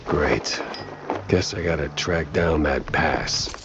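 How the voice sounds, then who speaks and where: A man's voice: very deep voice, takes it slowly, creaky, exaggerated voice. A man voicing a world-weary, wisecracking mouse private detective in a noir cartoon game, dry and laconic. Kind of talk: 1930s noir cartoon acting. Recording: muffled, with loud guitar music behind.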